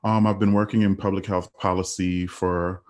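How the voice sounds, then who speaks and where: A man in his thirties from the Virgin Islands, speaking as a guest in a podcast interview in a measured, earnest way with frequent fillers. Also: sits low, little pitch movement, darkish tone. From United States Virgin Islands. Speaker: guest, health policy analyst.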